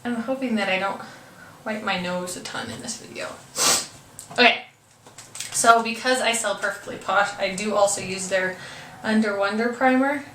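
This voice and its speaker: A woman's voice: medium pitch, moderate pace. A young woman with a stuffy, congested voice, chatting hesitantly through a makeup tutorial with lots of ums and apologies. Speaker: beauty vlogger. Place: room at home. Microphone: camera mic from a distance. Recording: roomy, distant camera audio with faint hiss.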